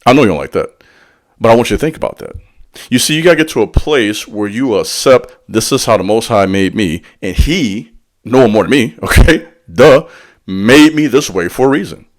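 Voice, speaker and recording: A man's voice: mid-range voice, expressive, moderate pace. A man giving a motivational spiritual talk in a preacherly way. He speaks directly to the listener in slang and drops call-and-response asides between points. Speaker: spiritual teacher.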